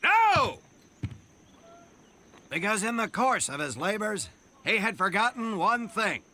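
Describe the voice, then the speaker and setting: An actor playing a pompous, sardonic Marine drill instructor who declaims like a preacher and barks orders in a war game. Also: male voice, sits high, very animated, takes it slowly, sharp tone, a little hiss or hum, full character voice. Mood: shouting, then smug, stern. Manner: declamatory mock-biblical oratory, barking orders. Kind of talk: theatrical military speech in a war game.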